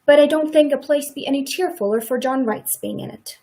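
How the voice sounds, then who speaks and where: A woman's voice: high voice, fairly quick, dark tone. A woman playing a guarded, defensive farm wife in a live radio play, with a dry, pointed way of speaking.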